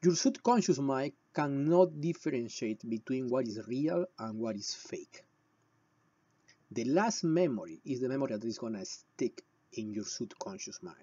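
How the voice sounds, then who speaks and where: A man from Peru with a Peruvian Spanish accent and a husky voice, explaining and telling stories to viewers in short, emphatic phrases with deliberate pauses. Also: mid-range voice, dark tone, no room sound, muffled recording. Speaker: shamanic healer presenting a video. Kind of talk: explanatory talk with personal storytelling.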